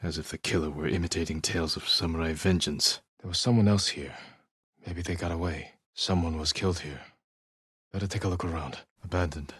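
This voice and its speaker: A stoic samurai warrior, speaks in a low voice with a husky edge. The delivery is measured, solemn and restrained, with a determined, honorable bearing. The recording is close and dry. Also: male voice, no echo. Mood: quiet, brooding. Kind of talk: dramatic video game voice acting.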